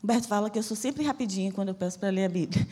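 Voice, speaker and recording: A woman's voice: mid-range voice, mellow, slightly rough, in a large hall. A woman from Salvador with a Bahian accent blended with a Rio accent, preaching a fervent, emphatic church sermon as a missionary. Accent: Bahian Portuguese mixed with Rio de Janeiro. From Salvador, Bahia, Brazil. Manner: fervent, emphatic preaching with slow, stressed phrases. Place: church hall.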